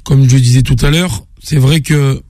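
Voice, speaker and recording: Calm male sports pundit, dry sound. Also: medium pitch, dry recording. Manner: calm, halting with fillers. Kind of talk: measured analysis.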